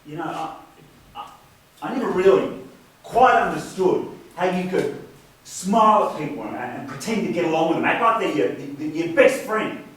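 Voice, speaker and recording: A man playing a bitter, judgmental brother in a stage play, speaking haltingly and repeating words as he accuses. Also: sits high, lively intonation, a bit bright. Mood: resentful. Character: bitter, judgmental, grieving brother, stammering with resentment. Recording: very echoey hall, distant mic, faint hiss.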